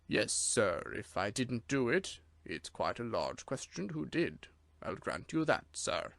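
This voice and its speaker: A solemn, deferential manservant with a slight Irish lilt, as a man reads him in a storybook. He speaks quietly and gravely, always formal. The recording is dry and close. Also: mid-range voice, big pitch swings, unhurried, dry recording, full character voice.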